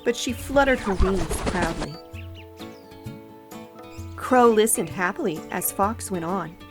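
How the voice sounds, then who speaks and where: A woman reading a children's storybook aloud as narrator, in an expressive storytelling style. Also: medium pitch, slow pace. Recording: light music and birdsong behind.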